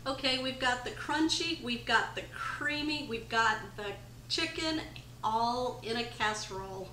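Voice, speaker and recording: A woman's voice: fairly high pitch, measured pace, bright, forceful tone, a little hiss or hum. A middle-aged woman in her home kitchen, chatting viewers step by step through a casserole recipe. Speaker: home cooking channel host. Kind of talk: casual cooking demonstration.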